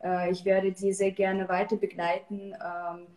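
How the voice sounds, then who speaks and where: A woman whose first language is Russian, a therapist-coach answering interview questions with halting speech and many filler sounds over a video call. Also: mid-range voice, little pitch movement, a little mellow, reverberant, rough recording.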